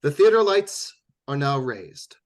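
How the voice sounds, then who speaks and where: A man's voice: mid-range voice, sing-song, measured pace. A man hosting a radio drama show, reading scripted closing announcements in a formal, theatrical old-time radio manner.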